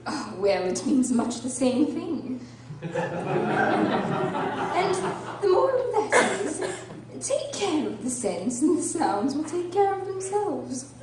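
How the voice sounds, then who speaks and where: A woman's voice: high voice, big pitch swings, slow pace, full character voice. A woman playing a chummy, eccentric, moralizing Duchess in a radio drama, gushing and affected with chuckles. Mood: merry. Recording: echoey room, distant mic, clear background noise.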